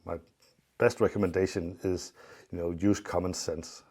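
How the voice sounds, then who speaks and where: A man giving an unscripted technical explanation as an expert, in a measured, matter-of-fact way with some hesitation. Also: low-pitched, little pitch movement, darkish tone. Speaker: loudspeaker company expert.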